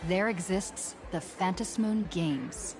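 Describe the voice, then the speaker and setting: A woman playing a storyteller who narrates an epic fantasy game saga in a formal, measured, scripted manner. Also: on the low side. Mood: solemn, measured. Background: game music.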